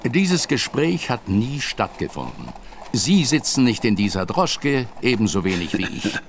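A man's voice: mid-range voice, sing-song, unhurried, a little hiss or hum. An actor playing a ruthless, vengeful aristocrat and grieving father who makes cold, clipped threats in a radio drama. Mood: accusing. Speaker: villain.

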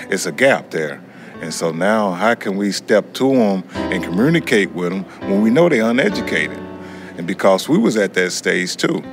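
A middle-aged American man talks earnestly and colloquially in an interview as a student. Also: low voice. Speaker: prison education student. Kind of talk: candid interview talk. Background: acoustic guitar music.

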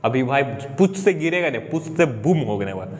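A man's voice: mid-range voice, very animated, brisk, darkish tone. A young man with an Indian accent giving upbeat, chatty commentary on a game update, mixing English words into his talk. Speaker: gaming YouTuber. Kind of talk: excited gameplay update commentary.

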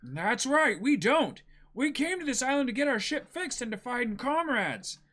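A man voicing an excitable, naive boy pirate captain in a loud, blunt, exuberant way, as in a comic dramatic reading. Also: extremely high pitch, normal speed, voice pushed up, full character voice. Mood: eager, blunt.

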